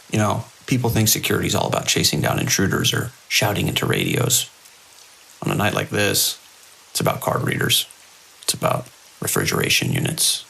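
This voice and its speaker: A man playing a seasoned, wry security chief in an audio drama, with a measured, world-weary, procedural delivery and a gravelly voice. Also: very deep voice, a bit bright, heavily acted, poor audio. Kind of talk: audio drama dialogue and log monologue. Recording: faint hiss and rain-like noise.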